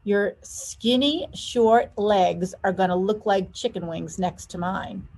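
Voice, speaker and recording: A woman's voice: medium pitch, slow, darkish tone. A woman voicing a sassy, proud young girl dancer with a cheeky, exclamatory delivery in a storybook reading.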